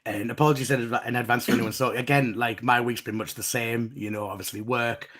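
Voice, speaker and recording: Husky croaky male podcast host. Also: medium pitch, fairly even intonation, quick pace, a bit bright. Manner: rambling, restarts often. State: croaky voice from recent illness. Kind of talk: casual podcast chat and news reading.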